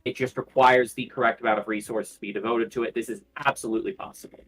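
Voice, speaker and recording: A man talks through a speculative argument on a livestream with a medium-pitched voice. He restarts and repeats phrases as he thinks aloud, and music plays behind him. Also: steady tone, fast talker, a bit bright, low-quality recording. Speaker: livestream panelist. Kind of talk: casual speculative discussion.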